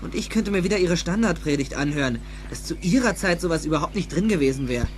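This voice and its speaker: A man's voice: on the high side, expressive, fast talker, slight background noise, lightly acted. A man playing an impulsive, insecure teenage schoolboy in a German radio play, with a youthful, exclaiming delivery. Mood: exasperated.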